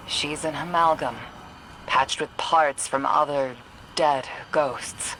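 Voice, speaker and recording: An actor playing a composed, pragmatic strategist in a video game, giving measured, matter-of-fact mission briefings. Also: male voice, on the high side, a little hiss or hum, rough recording. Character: composed, pragmatic male strategist guiding a soldier. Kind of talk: video game character acting. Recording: loud music behind the voice. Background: loud game music.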